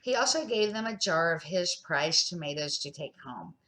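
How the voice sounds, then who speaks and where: A middle-aged or older woman narrating a children's story in a deliberate, homemade read-aloud style. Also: on the low side, lively intonation, bright, forceful tone. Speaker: storybook reader and series host.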